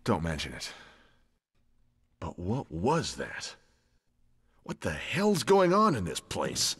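Deep, gruff police veteran. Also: male voice, wildly swooping pitch, takes it slowly, fairly bright tone, dry recording, full character voice. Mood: weary, puzzled. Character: burly, troubled male special-police veteran hiding a secret. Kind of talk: video game cutscene acting. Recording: music behind the voice.

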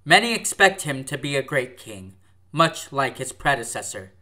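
Bright, forceful male narrator. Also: mid-range voice, very animated, moderate pace. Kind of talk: scripted narration.

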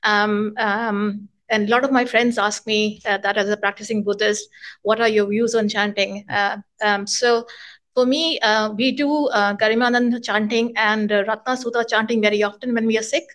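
A woman from India whose first language is Hindi, asking a question in a Q&A and pausing often with uhs and ums. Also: mid-range voice, steady tone, fairly bright tone. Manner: hesitant, many uhs and ums. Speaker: retreat participant asking a question.

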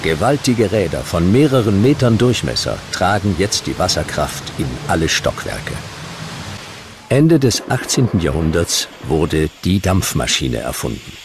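A man narrating a scripted historical documentary in measured, dramatic short sentences. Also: deep voice, background noise. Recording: music behind the narration.

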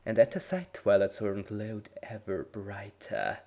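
A man narrating a dramatic reading of fan fiction, measured, voicing each character's dialogue. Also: fairly low pitch, darkish tone. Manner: measured storytelling with voiced dialogue.

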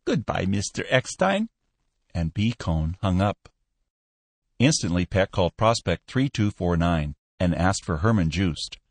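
A man narrating a story as an audiobook, with expressive, polished reading. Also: low voice, unhurried, dry recording.

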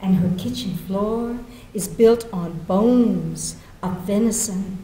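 A middle-aged woman from giving a dramatic, deliberate poetry reading. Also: medium pitch, warm tone. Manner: measured, deliberate phrasing. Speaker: poet. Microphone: lectern gooseneck microphone. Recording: echoey meeting room.

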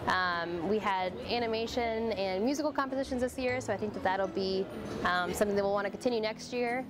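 A young woman talks at an ordinary pitch, loose and unscripted, filling pauses with ums. Clear music plays behind her in an indoor exhibition hall. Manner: relaxed, many ums and restarts. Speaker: program organizer. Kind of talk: casual interview.

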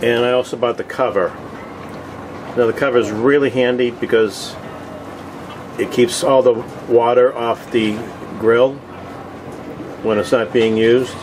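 A man giving a casual video tour in short, halting, plainspoken phrases, recorded outdoors on a boat deck with a handheld camera. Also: medium pitch, steady tone. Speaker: host of a cooking vlog. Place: open deck of a boat on the water. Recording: outdoor ambient noise.

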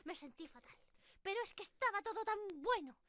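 A woman's voice: very high voice, animated, fairly quick, sharp tone, full character voice. A woman voicing a cute, cheeky young squirrel girl in an ASMR roleplay, playful and pleading as she talks straight to the listener. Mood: guilty, dramatic. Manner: playful, genki, pleading and apologetic.

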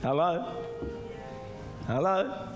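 Older pastor preaching in a reverberant hall. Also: male voice, very animated, drawn-out words. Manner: exhorting, rising to shouts, mimics doubters in a higher voice. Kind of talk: sermon. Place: large church auditorium with stage. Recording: live church hall reverb with music. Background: soft music and congregation responses.

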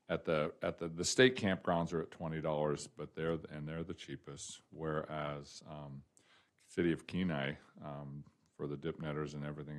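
A man with an extremely deep voice gives a presentation at a city advisory board meeting. He talks in an even, flat tone and often pauses with ums and restarts his sentences. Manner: plain-spoken, frequent ums and restarts. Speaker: parks and recreation director presenting to a board. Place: city council meeting room.

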